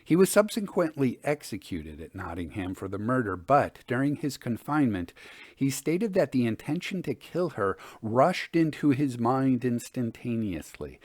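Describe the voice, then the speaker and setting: Male narrator for classic ghost tales: measured, formal delivery, mid-range pitch, very little room sound. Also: a little mellow, no echo, studio quality. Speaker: narrator reading ghost stories. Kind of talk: scripted storytelling narration.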